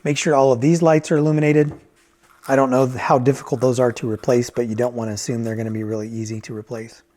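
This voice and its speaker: A man's voice: mid-range voice, flat delivery, fast, darkish tone. A man giving a casual, off-the-cuff product review as a reviewer and repairer, conversational and thinking aloud as he goes.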